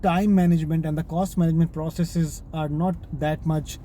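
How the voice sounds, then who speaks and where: A man's voice: fairly high pitch, level tone, dark tone. Pakistani man vlogging from his car. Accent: Pakistani English. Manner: halting, with many restarts and pauses. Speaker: IT project manager vlogger. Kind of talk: casual talk to camera. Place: inside his car while driving. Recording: car and traffic noise.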